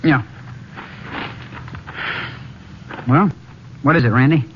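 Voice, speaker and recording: A man's voice: mid-range voice, brisk, natural voice. A man acting a cocky, resentful young jockey in old-time radio drama, clipped, bitter and confrontational. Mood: guarded, curt. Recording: muffled, faintly noisy vintage radio recording.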